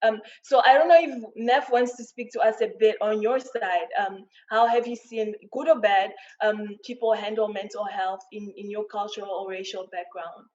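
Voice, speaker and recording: A woman's voice: medium pitch, steady tone, normal speed, hardly any pauses, a little mellow, muffled recording. A woman hosting a panel conversation, friendly and admiring, asking long, rambling questions. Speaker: host.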